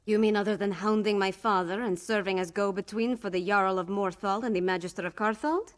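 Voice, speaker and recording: A woman playing a brisk, determined young noblewoman in a fantasy video game, speaking frankly and in a businesslike way. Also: medium pitch, steady tone, close to an everyday voice. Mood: wry, determined. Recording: game music behind the voice.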